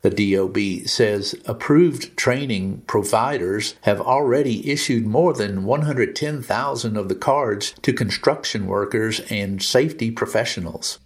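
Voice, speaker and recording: A man's voice: on the low side, measured pace, hardly any pauses. A man presenting a scripted industry news update on a podcast.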